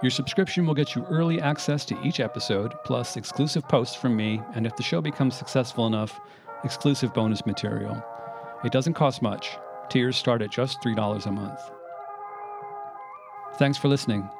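A man reading scripted history as a podcast host, in a measured, literate storytelling manner with dry understatement. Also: medium pitch, music in the background.

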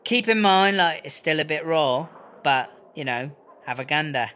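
Man playing a high-voiced, rambling British inmate. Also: animated, moderate pace, pushed and bright, a little hiss or hum. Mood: sheepish. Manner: rambling, slangy, sweary. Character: brash, foul-mouthed, chatty British prison inmate. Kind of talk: comedy audio drama storytelling. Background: music.

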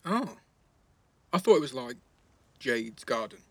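A man's voice: medium pitch, big pitch swings, unhurried, fairly bright tone, no echo, full character voice. A man playing a cheeky, restless teenage schoolboy in care, blunt and slangy, in a naturalistic audio drama. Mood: soft, teasing.

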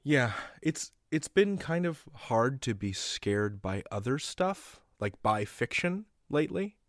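A man hosting a casual conversational interview, speaking in halting, thoughtful phrases with tentative turns. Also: mid-range voice, very animated, no echo, clean studio sound. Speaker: interviewer, podcast host.

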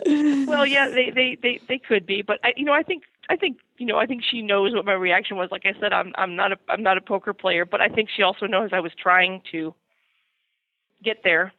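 A woman's voice: medium pitch, brisk, bright, forceful tone, no echo. A woman chatting casually as a show contributor, on a slightly dull line. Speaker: segment contributor. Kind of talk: casual chat.

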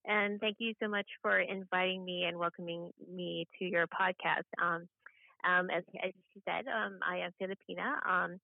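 Gravelly, low-key American woman guest. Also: steady tone, no echo. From Hawaii, United States. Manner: halting, with frequent "you know". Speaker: podcast guest, government official. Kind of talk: conversational interview introduction. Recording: slightly dull, lo-fi audio.

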